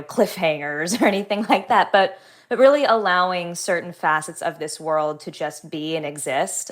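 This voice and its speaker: A woman novelist talking in an interview, thoughtful, articulate and measured. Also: fairly low pitch. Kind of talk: book reading and conversational interview answers.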